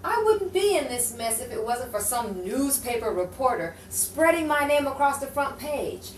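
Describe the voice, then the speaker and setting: A woman's voice: high voice, big pitch swings, normal speed. Woman acting a wary noir witness, echoey and distant. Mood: distrustful, indignant. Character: frightened, distrustful female crime witness in a noir story. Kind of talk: improvised noir radio-play acting. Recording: echoey room, distant mic, faint noise.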